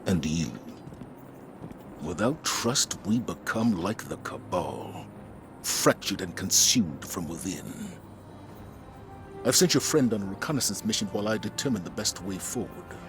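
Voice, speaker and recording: A grave, steady military commander weighs his words with measured authority. His voice sits low against a loud orchestral game score. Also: music in the background.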